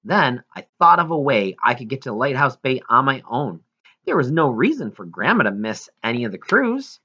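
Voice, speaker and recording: A man reading a first-person story aloud in an expressive, earnest way, now and then stumbling. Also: medium pitch, highs cut off. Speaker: audiobook reader.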